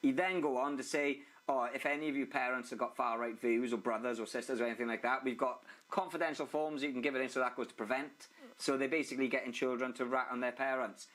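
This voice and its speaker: A man's voice: mid-range voice, steady tone, fast talker, fairly bright tone, low-quality recording. A man interviewing a guest in a casual, conversational way, often repeating words as he starts a sentence.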